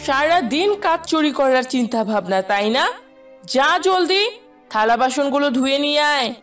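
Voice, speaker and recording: A woman's voice: sits high, animated, moderate pace, distant mic, soft background music. A woman playing a cruel, bossy village stepmother in a cartoon fairy tale, scolding harshly.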